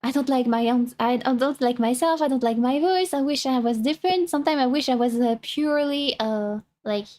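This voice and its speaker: High-voiced French-accented woman streamer. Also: fairly quick, hardly any pauses, a little mellow. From France. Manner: self-conscious, rambling, with many restarts. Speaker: VTuber streamer reacting to a video. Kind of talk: casual chat.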